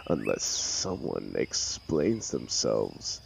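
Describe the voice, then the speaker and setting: A man playing an arrogant, mocking telepathic supervillain in an audio roleplay, with a gravelly voice and a sneering, sarcastic, theatrical manner. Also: extremely low pitch, very animated, slow, noisy background, exaggerated voice. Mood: threatening. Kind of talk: dramatic audio roleplay monologue.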